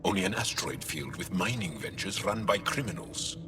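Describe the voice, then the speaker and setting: An actor playing a serious, insistent alien crew member in a video game, speaking firmly and deliberately. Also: male voice, deep voice, animated. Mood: firm, concerned. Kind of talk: video game cutscene acting. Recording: noisy, long-echoing room ambience.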